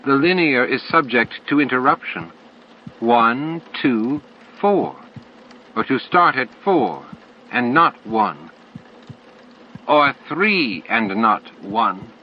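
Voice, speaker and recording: A man voicing cryptic alien entities in an audiobook, solemn and insistent, with deliberate, stilted phrasing and pauses between words. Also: medium pitch, big pitch swings, highs cut off, a little hiss or hum. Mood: cryptic, probing. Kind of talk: audiobook character dialogue.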